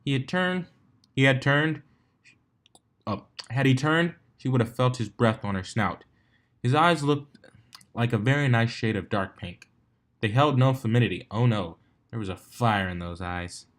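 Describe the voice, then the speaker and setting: A man reads fan fiction aloud in a medium-pitched voice. He narrates from the text and sometimes trips over a phrase and reads it again. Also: moderate pace, long pauses, a bit bright. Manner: stumbles and rereads phrases. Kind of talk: scripted story narration with character dialogue.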